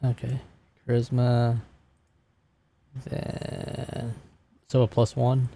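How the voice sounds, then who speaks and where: A man's voice: medium pitch, monotone, extremely slow, creaky, dark tone, slight background noise. A man talking casually as a player in a tabletop game, describing moves and checking rolls.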